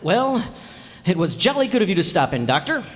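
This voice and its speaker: A man's voice: high voice, big pitch swings, brisk. Man acting a pompous, blustery husband, echoing hall. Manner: pompous, bumbling, stammering. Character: blustery middle-aged husband putting on pompous airs. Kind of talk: old-time radio comedy acting. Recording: very reverberant hall.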